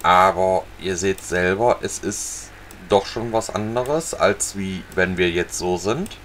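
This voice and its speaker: Low-voiced German man playing a game. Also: takes it slowly, slight background noise. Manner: relaxed, talks to viewers while fishing in a game. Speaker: gaming channel host. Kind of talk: casual let's-play commentary.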